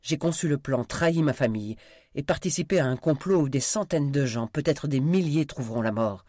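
A man's voice: medium pitch, expressive, a little fast, darkish tone, slightly rough, no echo. A man reading an audiobook, voicing a desperate, defiant male villain with a brutal, commanding, hot-tempered manner. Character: desperate, defiant man threatening with a deadly virus spray.

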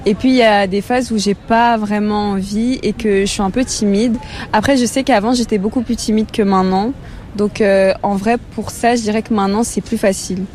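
A young woman giving interview answers in a relaxed, reflective way. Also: mid-range voice, fairly quick, a little hiss or hum. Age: young adult.

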